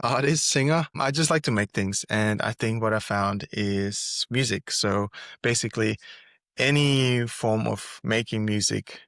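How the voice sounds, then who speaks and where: A man's voice: on the low side, creaky, a bit bright, dry recording, studio quality. A man from Singapore, a musician, answering interview questions in an easygoing, hesitant way, often restarting his phrases. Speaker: musician guest. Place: Seoul, recording remotely.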